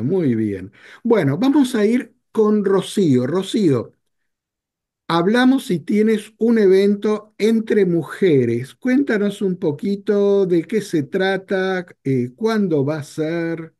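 An older man hosting a talk show over a video call, speaking in a measured, reflective way. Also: high voice, lively intonation, mellow. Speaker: radio show host. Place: home office.

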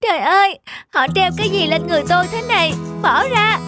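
Scared whiny little peach tree girl from a cartoon, really high and weepy, music under it. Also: expressive, brisk. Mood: upset. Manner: wailing, complaining. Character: whiny, frightened young female peach tree, tearful.

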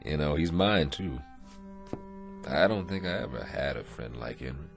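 A low-pitched, battered American prizefighter, gentle and humble, talks plainly and wistfully. It is radio drama, with music clearly underneath. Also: male voice, animated, unhurried, exaggerated voice. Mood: warm, tender. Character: weary, battered aging boxer, gentle and humble. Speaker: actor.

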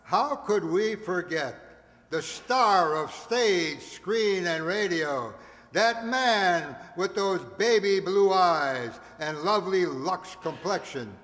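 A man in his seventies reading out cast credits on a stage in a deliberate, theatrical announcer's manner. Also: sits high, animated, a bit bright. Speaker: announcer of a radio players group. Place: stage of a community hall. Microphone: stand microphone on stage, recorded by audience camera. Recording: echoey hall, audience noise, hiss.

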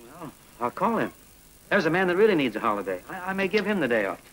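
An actor playing an easygoing, joking male priest in a 1940s film, with a lively, relaxed delivery. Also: fairly high pitch, fast, faint music behind, poor audio. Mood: nostalgic. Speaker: new parish priest. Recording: old film soundtrack with faint hiss.

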